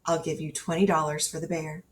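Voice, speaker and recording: A woman reads the part of a kind-hearted army vet in a children's story. He is an earnest, plain-spoken man, voiced on the low side. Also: flat delivery, brisk, natural voice. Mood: torn, resolute. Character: kind-hearted young soldier veterinarian, a man, storybook hero.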